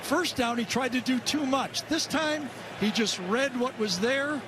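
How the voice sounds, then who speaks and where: A man's voice: very high voice, unhurried. An American man giving conversational, emphatic football analysis during a live TV broadcast, often asking rhetorical questions. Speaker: color analyst. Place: stadium broadcast booth. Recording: very noisy TV broadcast recording.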